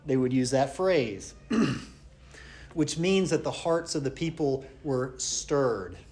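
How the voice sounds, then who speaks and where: A man teaches church history in an unscripted, conversational way. His pitch sits in a middle range. He often stops on fillers and restarts, and he asks the class rhetorical questions. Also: expressive, takes it slowly, a little mellow.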